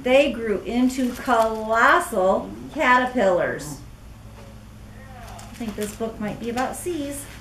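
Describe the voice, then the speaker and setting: High-pitched older woman reading to children. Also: expressive, takes it slowly, fairly bright tone, distant mic. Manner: speaking through a face mask. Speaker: storybook reader. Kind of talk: expressive children's read-aloud with chatty asides. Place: small classroom-like room, indoors. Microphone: camera a few metres away. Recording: echoey room, faint background noise.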